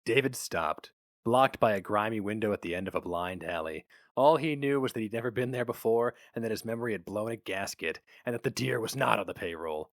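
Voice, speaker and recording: A man's voice: on the low side, expressive, a little fast, dry recording, studio quality. A man reading a story aloud as its narrator in a dramatic, scripted style.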